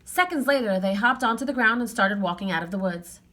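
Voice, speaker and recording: A woman narrating a children's story aloud in an expressive read-aloud style. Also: mid-range voice, a little fast.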